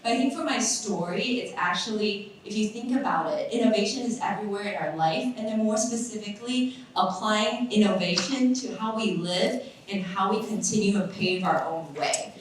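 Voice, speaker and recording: A woman's voice: mid-range voice, moderate pace, a little hiss or hum. A woman in her thirties from Taiwan giving a chatty conference talk, full of fillers and restarts. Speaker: summit speaker, nonprofit founder. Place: large echoey conference hall. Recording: echoey hall, voice from far off.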